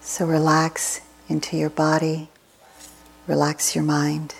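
A woman teaching a guided meditation in short, measured, soothing phrases with long pauses between them. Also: sits low, completely flat delivery, creaky, breathy edge, poor audio.

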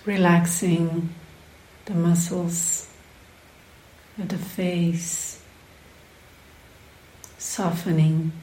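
A woman leading a guided meditation as a teacher, speaking in short, unhurried phrases with long pauses between them. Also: fairly low pitch, little pitch movement, mellow. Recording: roomy, echoing sound.

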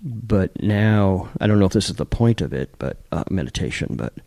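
A man's voice: deep voice, expressive, moderate pace, creaky, warm tone, no echo. A man modestly and tentatively sharing his meditation experience in a group. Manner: modest, self-deprecating, tentative.

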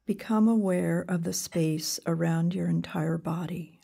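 A woman leading a guided meditation in a calm, gentle, unhurried way with long pauses, her voice soft and breathy. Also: on the low side. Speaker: meditation teacher. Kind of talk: guided body scan meditation.

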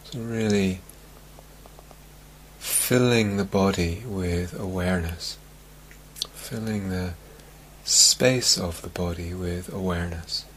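A man leading a guided meditation as a teacher, speaking calmly and gently in short, spaced-out phrases. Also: sits low, a little hiss or hum, poor audio. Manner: calm, gentle, unhurried with long phrasing gaps. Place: meditation retreat talk, recorded live.

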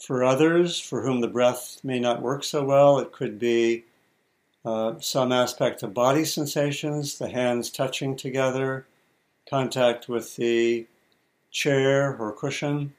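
A man teaching meditation, giving guided instructions in short, measured phrases with deliberate pauses between them. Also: medium pitch, fairly even intonation.

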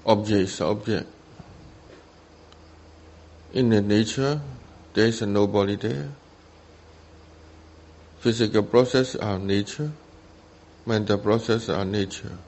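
A man with a Burmese accent leads a guided meditation in a fairly low voice. He speaks very slowly, in short, simple phrases. Long pauses separate his instructions. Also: steady tone, darkish tone. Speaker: meditation teacher, Buddhist monk.

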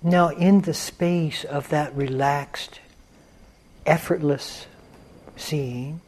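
A man teaching a guided meditation in a calm, gentle manner, leaving long pauses between phrases. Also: fairly high pitch. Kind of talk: guided meditation, unscripted instruction.

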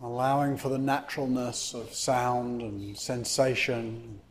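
A man teaches a guided meditation at a very unhurried pace. His voice sits at a medium pitch, and he gives measured instructions in short, spaced phrases. Noticeable room ambience runs behind him. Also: level tone. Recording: clear background noise, room ambience.

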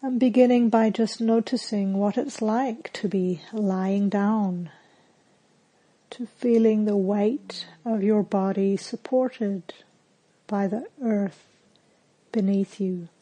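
Female meditation guide, medium pitch, deeply relaxed mellow tone, calm and soothing, slow delivery. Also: rough recording. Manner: calm, gentle, soothing, with long pauses. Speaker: meditation teacher.

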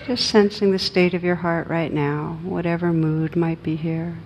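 A woman meditation teacher leading a guided meditation, giving gentle, unhurried instructions with long pauses. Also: lo-fi sound. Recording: steady background noise with low rumble.

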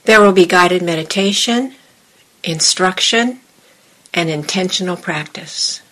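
A woman with a slightly low voice leads a guided meditation. She speaks very slowly, in short measured phrases, and leaves long pauses between them as a teacher giving instructions. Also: fairly bright tone, rough recording.

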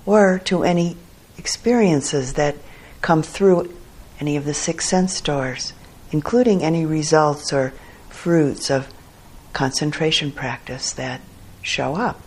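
A woman meditation teacher guiding a sitting practice, speaking gently and unhurriedly in short phrases broken by long pauses. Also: sits low, slightly rough. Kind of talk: guided meditation instruction. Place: meditation hall.

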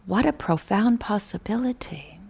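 A woman teaching a guided meditation in a measured, contemplative way, pausing between short phrases and softly trailing off at the ends. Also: medium pitch, lively intonation, creaky, slightly rough.

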